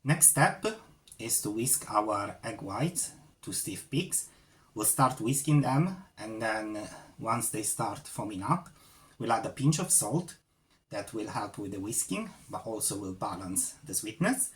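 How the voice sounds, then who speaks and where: A man's voice: fairly low pitch, animated, unhurried, fairly bright tone. A man from Sicily with an Italian accent, hosting a casual cooking tutorial and chatting loosely with many fillers. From Sicily, Italy. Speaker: cooking channel host.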